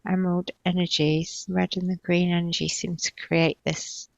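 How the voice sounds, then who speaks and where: A woman giving an unscripted, trance-like spiritual channeling, describing visions in halting, repetitive, searching phrases. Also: on the low side, level tone, vocal fry, dry recording, lo-fi sound. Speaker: spiritual healer channeling in a session.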